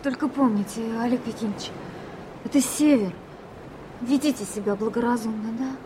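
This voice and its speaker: A woman's voice: a little high, normal speed, noisy background, natural voice. An actress playing an anxious, impulsive, caring young woman in a Soviet radio drama. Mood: earnest concern.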